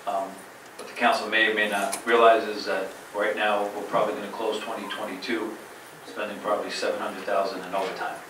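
Town manager with a New Jersey accent, low flat delivery, heard from far away in a very echoey chamber with noise. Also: male voice. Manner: measured, hesitant with many "um"s. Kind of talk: council meeting report. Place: large council chamber, indoors.

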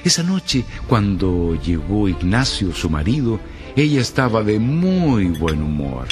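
A man's voice: fairly low pitch, very animated, slow. A man narrating a radio horror mystery tale as a grave storyteller. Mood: grave, suspenseful. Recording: music behind the voice.

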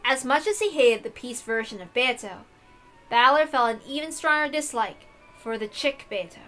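A woman's voice: on the high side, normal speed, a bit bright, soft background music. A woman reading a visual novel aloud as scripted, dramatic narration.

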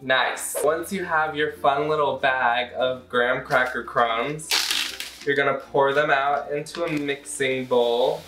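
A man's voice: mid-range voice, steady tone, measured pace, music in the background. A young American man casually walking through a recipe in his home kitchen, with playful, self-deprecating asides. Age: young adult. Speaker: cooking show host. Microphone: camera mic a few feet away. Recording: roomy kitchen echo from camera distance.

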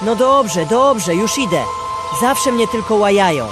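A man's voice: high-pitched, animated, a little slow, loud music behind the voice. A man acting a sulky but obedient young angel with a whiny, grumbling manner in an audio drama.

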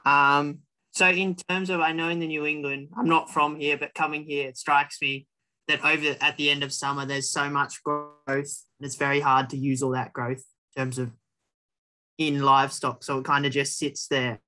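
A man's voice: on the high side, flat delivery, moderate pace, bright, forceful tone, poor audio. A young man in his twenties asking a panel a question on a video call, easygoing and thoughtful. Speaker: audience member asking a question. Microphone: video call webcam.

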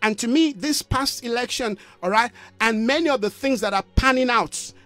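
A man's voice: extremely high pitch, moderate pace, a bit bright, no room sound, soft background music. A middle-aged man preaching in an online broadcast, emphatic and repetitive, with frequent "amen" and "you know" fillers. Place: indoor study with bookshelves. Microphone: studio mic on a boom arm, close.

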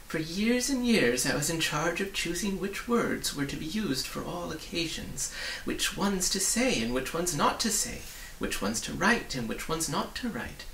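A man voicing a sad, gentle old woman who speaks formally and wistfully, as in a storybook. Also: a little high, very animated, unhurried, vocal fry, few pauses, voice pushed up, full character voice. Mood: reminiscing. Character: sad, gentle old woman, a disgraced former royal official. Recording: roomy, distant mic, hissy.

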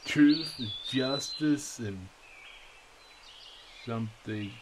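A man's voice: medium pitch, very slow. A simple-hearted dude of a superhero , acted by a man, talks in a casual slacker way. The voice is mid-range for a man, over faint background noise, in an audio drama. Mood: casual, puzzled.

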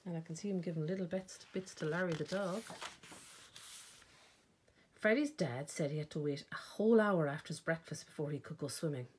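An Irish woman reading a children's picture book aloud expressively, with dramatic pauses and emphasis. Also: low voice, slight background noise. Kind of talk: storytime read-aloud narration.

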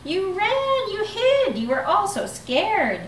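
A woman voicing a boastful young male dinosaur who pranks his friends, with shrill excited shouting. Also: slow, a little hiss or hum, heavily acted. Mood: teasing. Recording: echoey room, mic at a distance.

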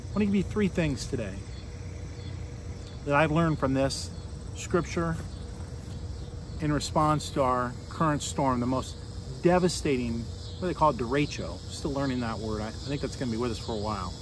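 An American man in his forties preaching an informal sermon as a pastor, earnest and conversational, with pauses and rhetorical questions. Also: medium pitch. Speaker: Lutheran pastor. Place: outdoors beside a fallen tree near a street. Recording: outdoor noise, insects and vehicles.

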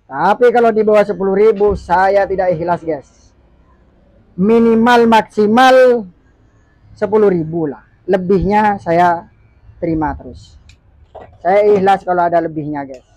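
Joking high-voiced barber, dry close sound. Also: male voice, measured pace, long pauses, a little mellow, no echo, rough recording. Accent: Indonesian. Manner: chatty, joking, keeps saying "guys". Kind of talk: casual vlog narration while cutting hair. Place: small barbershop, indoors, street-facing.

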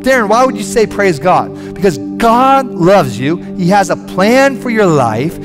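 A man in his forties, a pastor preaching a sermon from a church stage on a headset mic, animated and conversational, with frequent restarts. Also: sits high, unhurried, music in the background. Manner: animated, moving about the stage and gesturing.

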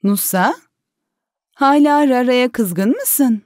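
A woman playing a gentle, patient mother with a soothing, tender manner in a children's cartoon dub. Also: high voice, slow pace, no echo. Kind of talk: dubbed children's cartoon acting.

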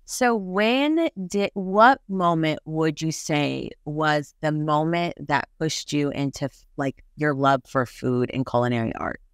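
Female podcast host, young adult, American accent. Low register, conversational interviewing, dry close-miked studio. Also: takes it slowly, few pauses, clean tone, no room sound. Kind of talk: casual interview hosting. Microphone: close studio microphone on boom arm.

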